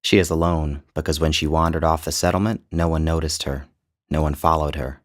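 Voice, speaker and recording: Extremely deep-voiced man narrating a story. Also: fairly even intonation, a little fast. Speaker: author and narrator. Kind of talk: literary short story narration.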